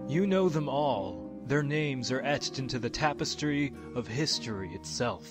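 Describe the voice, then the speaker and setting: A man's voice: medium pitch, expressive, a little slow, over music, close to an everyday voice. A man playing a grandiose tavern storyteller who announces heroic legends in a declamatory, showmanlike way. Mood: grand, showmanlike.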